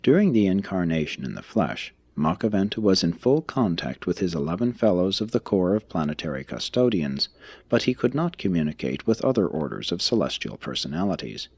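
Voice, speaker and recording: Deep-voiced man narrating, slightly husky. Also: sing-song, darkish tone, dry recording, highs cut off. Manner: formal, measured, expository. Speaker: audiobook reader. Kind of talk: scripted narration, reading a religious text aloud.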